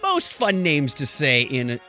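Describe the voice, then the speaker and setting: A man's voice: on the high side, wildly swooping pitch, slow, bright, forceful tone, soft background music. A man hosting a podcast with playful, theatrical announcing and casual comic banter. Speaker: podcast host.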